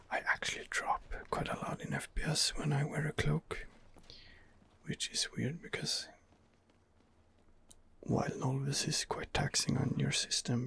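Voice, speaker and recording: A man's voice: medium pitch, gravelly, bright, forceful tone. A man giving whispered ASMR commentary on a game as its host, in a hesitant, rambling manner. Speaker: gaming video host.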